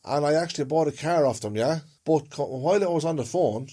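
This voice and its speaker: A man's voice: a little high, fast, mellow. An Irishman co-hosting a podcast in casual conversation, telling things in a rambling way and repeating phrases for emphasis. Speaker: podcast co-host.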